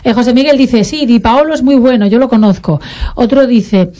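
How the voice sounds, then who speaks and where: A woman hosting a local radio show, lively as she reads out listener messages. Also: fairly high pitch, moderate pace. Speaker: radio host. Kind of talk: casual radio chat.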